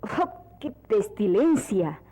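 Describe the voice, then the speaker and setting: A woman's voice: medium pitch, big pitch swings, drawn-out words, mellow, breathy edge, exaggerated voice, low-quality recording. An actress playing a disgusted, dramatically exclaiming woman in an old radio drama. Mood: disgusted, alarmed. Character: disgusted woman repelled by a stench.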